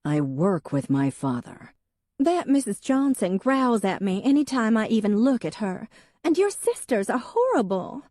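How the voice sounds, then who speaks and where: A woman's voice: big pitch swings, a little slow, dark tone, no room sound, studio quality. A woman voicing a dramatic, defensive young wife who turns tearful and pleading. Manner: shrill, pleading, emotional.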